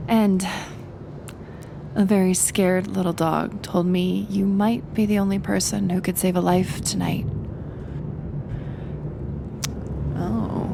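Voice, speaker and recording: A woman acting as a confident, wry supervillainess in an audio roleplay. She speaks slowly and teasingly, with a creaky edge on a medium-pitched voice. Clear ambient noise sits behind her intimate delivery. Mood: earnest, pleading. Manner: teasing, unhurried, pausing between phrases. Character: wry, confident supervillainess who talks to animals. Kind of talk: ASMR roleplay acting, intimate monologue to the listener.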